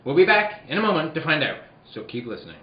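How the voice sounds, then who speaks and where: A man as a lively, suspenseful radio serial announcer. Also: a little high, fast talker. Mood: dramatic. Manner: theatrical, suspense-building. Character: dramatic, breathless old-time radio serial announcer. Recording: roomy, dull sound with crowd noise.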